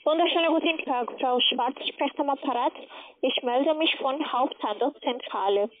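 High-pitched female telemarketer on a muffled line. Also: moderate pace. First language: not German. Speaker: cold caller selling trading platforms. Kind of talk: scripted sales pitch by phone.

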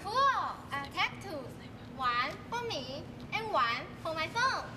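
A woman's voice: extremely high pitch, big pitch swings, takes it slowly, background noise. A young woman in a student stage skit, delivering lines in an exaggerated, theatrical way. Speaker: student performer. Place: large school auditorium stage.

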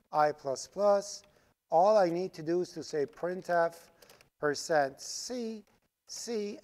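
A man's voice: high voice, a little mellow. A man whose first language is Farsi, teaching a programming class while typing code on screen and checking in with "right?" as he explains. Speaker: programming instructor. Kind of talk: live coding lecture. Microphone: screen recording with voice-over mic.

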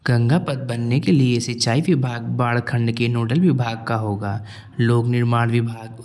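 A man hosting his own channel, reading a formal local news update as a voice-over in a steady tone. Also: mid-range voice. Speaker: YouTube channel host.

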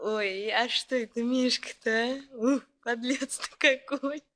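An actress playing a brash, teasing, excitable young village woman with saucy, exclamatory folk speech in a 1960s radio drama. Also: on the high side, a little slow. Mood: coaxing, curious.

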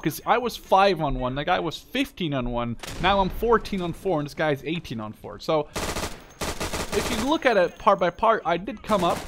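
A man's voice: on the high side, background noise. A man in his twenties giving casual, rambling gameplay commentary, opinionated and emphatic, with some swearing. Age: 20s. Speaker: gaming video host. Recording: game gunfire and clicks behind voice. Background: video game gunfire, clicks.

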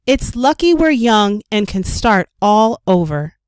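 A woman's voice: mid-range voice, big pitch swings, takes it slowly, clean tone, dry recording. A woman voicing a grateful, upbeat grandmother making an emphatic speech in a children's storybook.

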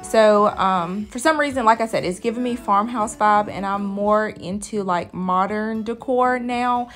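A woman narrates a home vlog in an informal, conversational way. Her voice sits at a medium pitch, and soft music plays behind her as she talks through things casually. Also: a little slow. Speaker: vlogger, host of a cleaning channel.

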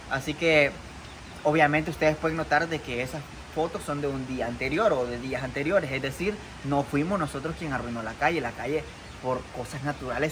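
A young man, a content creator, talking to the camera outdoors beside a muddy rural road, earnest and defensive, with many fillers and restarts. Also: on the high side. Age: young adult. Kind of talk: vlog to camera. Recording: outdoor noise behind. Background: outdoor ambience.

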